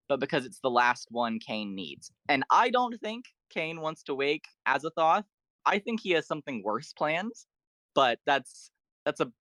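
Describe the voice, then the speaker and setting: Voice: mid-range voice, a bit bright, dry recording. A podcast guest talking through fan theories in an enthusiastic, explanatory way and in detail. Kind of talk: enthusiastic fan lore discussion.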